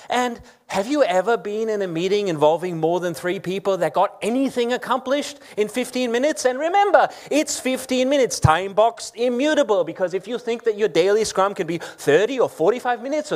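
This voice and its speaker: A man giving a short conference talk in a wry, opinionated way. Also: high-pitched. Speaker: conference speaker. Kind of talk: lightning talk presentation. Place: conference hall.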